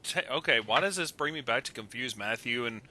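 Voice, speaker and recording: A man's voice: medium pitch, animated, a little fast, bright, forceful tone. A man on a voice call, casually giving his opinions on films as a guest commentator. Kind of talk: casual opinionated film discussion. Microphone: voice call on a computer.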